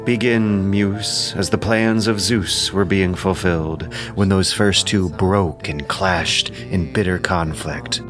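A man's voice: sits low, fairly even intonation, takes it slowly, few pauses, over music. A man delivering solemn, declamatory scripted narration of an epic in an audio drama.

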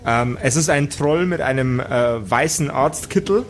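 A man improvising narration as game master of a tabletop role-playing game, halting now and then to search for words. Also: medium pitch, vocal fry, a little hiss or hum.

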